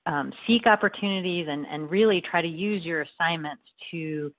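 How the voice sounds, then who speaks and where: A woman's voice: lowish voice, normal speed, dry recording. A woman nurse-midwife faculty member speaking earnestly in a webinar over a video call. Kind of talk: webinar talk. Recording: phone-like video call audio.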